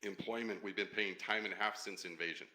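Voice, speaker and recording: Deep, forceful, fired-up man. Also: fairly even intonation, rough recording. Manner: passionate, fast-talking pitch. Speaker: studio co-founder. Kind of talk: casual podcast chat.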